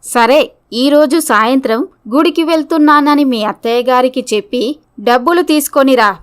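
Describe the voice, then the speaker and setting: Casting: female performer for intense young women in a moral-story drama, high-pitched, clean smooth tone. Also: normal speed, close to an everyday voice. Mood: menacing, demanding. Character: scheming, greedy, threatening woman blackmailer and her frightened young victim.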